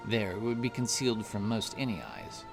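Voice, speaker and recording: A man narrating a scripted fantasy story in a measured, deliberate storytelling manner. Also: fairly low pitch, very animated, gravelly, over music.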